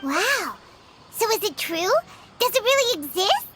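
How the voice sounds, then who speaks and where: A woman voicing a small, excitable, nosy fairy-like companion with a squeaky childlike voice in a video game, speaking of herself in the third person. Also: a little slow, heavy background noise, full character voice. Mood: amazed, curious. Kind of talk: video game cutscene acting. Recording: music behind the voice.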